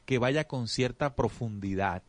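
Soft-spoken man explaining spiritual ideas. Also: medium pitch, measured pace, dry recording. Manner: reflective, explanatory, with many restarts; addresses the audience as "usted". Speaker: spiritual teacher. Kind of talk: conversational teaching on a show.

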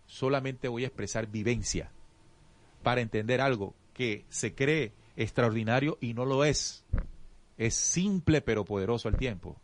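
A man's voice: mid-range voice, normal speed. A man teaching spiritual ideas in casual conversation, reflective and explanatory, often restarting his sentences. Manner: addresses the audience as "usted".

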